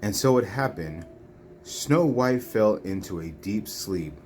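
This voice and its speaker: A woman reading children's storybooks aloud in a deliberate, phrase-by-phrase way. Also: very deep voice, expressive, darkish tone. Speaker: storybook reader. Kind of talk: children's read-along narration. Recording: faint background noise, home recording.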